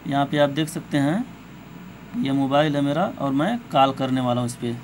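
A man's voice: medium pitch, normal speed. A man hosting an informal step-by-step phone tutorial, speaking to viewers as friends in a repetitive, somewhat hesitant way. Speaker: tech tutorial host. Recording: clear background noise, amateur recording.

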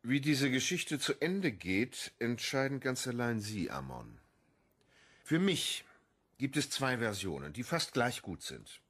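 An actor playing a cynical, menacing police official in a radio crime drama, taunting and deliberate. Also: male voice, mid-range voice, sing-song, a bit bright, breathy edge, heavily acted. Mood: smug, threatening.

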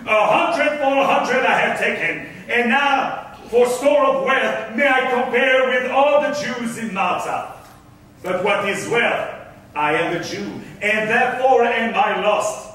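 A vengeful, scheming old-world merchant declaims stage verse with slow, drawn-out grandeur. The voice is high and ringing, heard in a very echoey concert hall. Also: male voice, bright, forceful tone, recorded from a distance, full character voice. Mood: feigned penitence. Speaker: stage actor. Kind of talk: theatrical staged reading of Elizabethan verse. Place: on stage in a wooden recital hall. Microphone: distant stage microphones.

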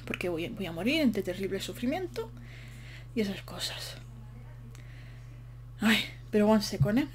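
A woman's voice: mid-range voice, big pitch swings, measured pace, pauses often, a little hiss or hum. Chatty woman, rambling and short of breath. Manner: chatty, rambling, self-mocking asides. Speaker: game build commentator. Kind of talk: casual voice-over while building in a game.

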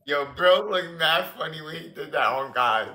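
A hoarse nineteen-year-old man from the Bronx, chatting and teasing on a phone livestream. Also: fairly high pitch, animated, moderate pace, bright, forceful tone, reverberant. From the Bronx, New York. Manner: slangy, laughing, teasing. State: hoarse, losing his voice. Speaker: livestream guest. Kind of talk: casual livestream banter. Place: indoor room. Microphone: phone at arm's length.

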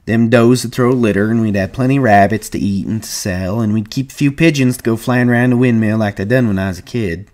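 A man's voice: lowish voice, fairly quick, hardly any pauses, a little mellow, close to an everyday voice. A man voicing a shrewd, folksy ranch hand with plain rustic American speech in an audiobook story. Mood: warm, dreamy.

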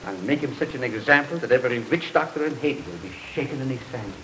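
A man's voice: medium pitch, lively intonation, fast talker, a bit bright, close to an everyday voice. Through heavy hiss and rain, an actor plays a feisty old preacher in a vintage horror film. The man speaks with the fearless authority of someone who knows the islands, in 1930s screen style. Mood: firm, deliberate. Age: older. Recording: hissy, noisy 1930s film soundtrack.

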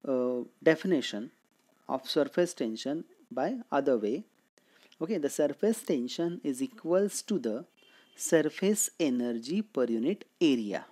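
A man's voice: mid-range voice, warm tone. A man with an Indian accent giving an explanatory physics lecture as a teacher, often asking questions and answering them himself. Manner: didactic, asks and answers own questions.

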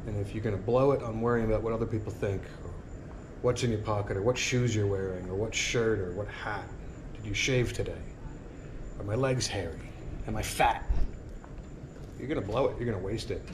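Middle-aged male vlogger, somewhat low voice, earnest motivational rambling, recorded outdoors on a camera mic with clear ambient noise. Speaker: sailing vlogger. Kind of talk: casual reflective monologue. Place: outdoor porch by a marina. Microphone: action camera on a tripod a few feet away.

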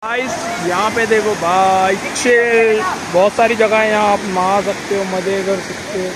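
A man, giving casual, excited vlog commentary and calling out to friends, recorded on a phone at arm's length outdoors. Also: high-pitched. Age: 20s. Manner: excited, informal, calling out to companions. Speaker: vlogger. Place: outdoors on forested rocky trail near waterfalls. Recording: noisy outdoor phone audio with rushing water.

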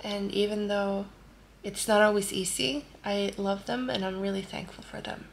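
A woman in her thirties with a Norwegian accent, answering viewer questions calmly while lying in bed, recorded by a close camera in a bedroom. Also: medium pitch, normal speed, gravelly, slight background noise. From Norway. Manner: calm and gentle, lying in bed while talking. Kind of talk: soft-spoken casual Q&A. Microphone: camera close at face level.

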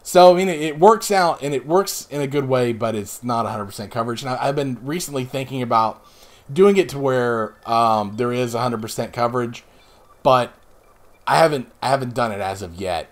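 A man in his thirties, a little hoarse today, casually explaining his moves while playing a space game, with rambling asides. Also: mid-range voice, normal speed. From Northeastern US. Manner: rambling, explanatory, self-deprecating. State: slightly hoarse. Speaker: let's play host. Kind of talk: casual gameplay commentary.

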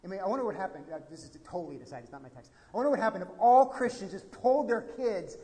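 A man in his thirties with an American accent, preaching a sermon from the pulpit and moving between quiet, reflective passages and emphatic appeals. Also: high-pitched, very fast. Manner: animated, gesturing, swings from quiet to emphatic. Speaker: pastor. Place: church sanctuary at a pulpit. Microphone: pulpit microphone. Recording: reverberant church hall.

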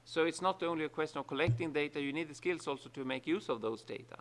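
Gravelly man speaking haltingly. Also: a little high, a little hiss or hum. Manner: halting, with many fillers. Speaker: panelist, international organization. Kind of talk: conference panel remarks. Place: large conference room.

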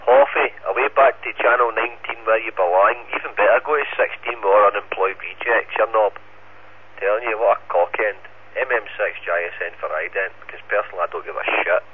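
A man's voice: on the low side, brisk. Male radio ham, central Scotland accent, mocking and foul-mouthed street banter, tinny narrowband transmission. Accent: Scottish, central Scotland. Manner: taunting, sweary, slangy. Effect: two-way radio. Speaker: amateur radio operator. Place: received over an FM radio repeater. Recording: phone-like narrowband radio, faint noise.

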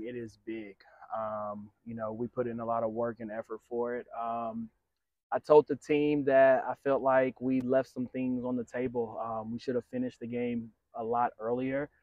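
A middle-aged man, a head soccer coach, giving a measured post-game interview outdoors on a field at night. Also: medium pitch, no room sound, highs cut off. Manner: measured, steady, frequent fillers.

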